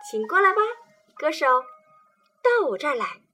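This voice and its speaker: A woman's voice: sits high, big pitch swings, faint music behind, voice pushed up, exaggerated voice. A woman voicing kind children who invite hungry birds in to eat. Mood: welcoming. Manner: warm, brisk and inviting.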